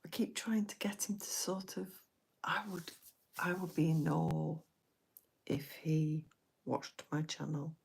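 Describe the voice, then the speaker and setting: Hushed, husky woman chatting live. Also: sits low, little pitch movement, slow pace. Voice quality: soft half-whispered voice. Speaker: live stream host. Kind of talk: late-night live stream chat with viewers.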